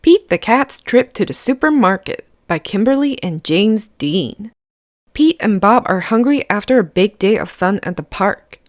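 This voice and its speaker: Female children's read-aloud host, lively pitch swings, slow clear-cut pacing, dry close sound. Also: no echo. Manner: expressive, simple, child-directed explaining. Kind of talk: picture book read-aloud to children, with questions to the listener.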